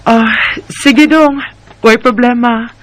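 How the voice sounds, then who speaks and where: A man's voice: very high voice, fairly even intonation, takes it slowly. A man acting a long-suffering, emotional wife and mother in a radio drama, with a Filipino Bisaya accent. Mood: relieved, grateful. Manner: emotional, scolding and pleading.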